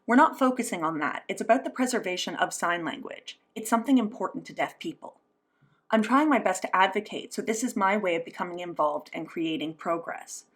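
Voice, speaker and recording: A woman's voice: fairly low pitch, expressive, brisk, fairly bright tone. A woman gives a spoken interpretation of a signed interview, working as an interpreter. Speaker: sign language interpreter voicing an interview.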